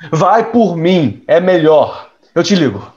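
A man's voice: fairly high pitch, big pitch swings, takes it slowly, a little mellow, muffled recording. A young man playing an evasive, troubled rich playboy in an audio drama. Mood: alarmed. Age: young adult. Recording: music under the voice.

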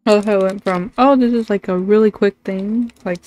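A woman streamer giving casual, halting, offhand commentary while playing a game. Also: medium pitch, steady tone, darkish tone, faint music behind.

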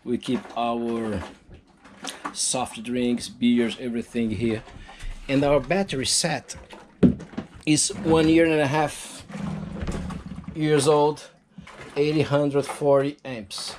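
A man's voice: mid-range voice, steady tone, darkish tone, slight background noise. A man with a Brazilian Portuguese accent, a non-native English speaker, showing his catamaran on a handheld camera walkthrough. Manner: slow, halting, proud, shows each item and lists features. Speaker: boat owner giving a tour. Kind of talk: walkthrough tour of a sailing catamaran. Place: on board a catamaran, on deck and in the cabin. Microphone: handheld action camera.

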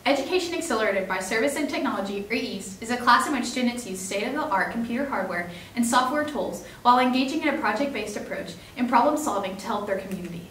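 Quick teen girl news anchor. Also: fairly high pitch, expressive, a bit bright, roomy sound, distant mic, slight background noise. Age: teens. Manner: brisk read-aloud delivery. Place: school studio news desk.

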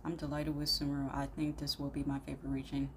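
Low-pitched young woman, slangy and opinionated. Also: monotone, fast, noisy background. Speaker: YouTube reaction host. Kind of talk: casual reaction commentary.

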